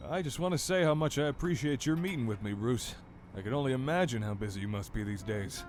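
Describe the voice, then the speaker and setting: A man playing an earnest, persuasive mayoral candidate in an audio drama, speaking with sincere, measured polish. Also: mid-range voice, lively intonation, soft background music, close to an everyday voice.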